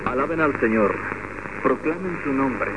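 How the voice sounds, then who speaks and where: A man reading a long scripture passage aloud at a church service, measured and formal. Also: medium pitch, expressive. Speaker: scripture reader. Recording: muffled with background noise.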